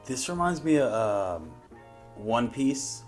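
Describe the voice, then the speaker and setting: A man's voice: mid-range voice, very animated, takes it slowly, faint music behind. A man hosting a reaction show, chatting casually and rambling as he thinks aloud.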